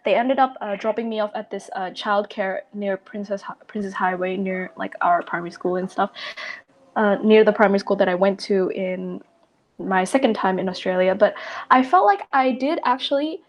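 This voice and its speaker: A woman's voice: medium pitch, moderate pace, dull audio. Chatty Indonesian teen girl. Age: teens. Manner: rambling, many fillers and restarts. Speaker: guest. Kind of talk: casual podcast chat.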